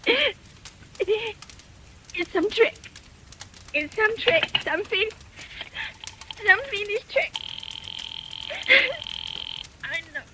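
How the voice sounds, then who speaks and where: A nervous, imperious invalid wife in a 1940s radio thriller. Her voice is extremely high and bright, halting and pleading in a theatrical, melodramatic way. A light layer of old-recording noise sits over it. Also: lively intonation, full character voice. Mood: anxious dread. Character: frantic, imperious invalid wife, alone and terrified.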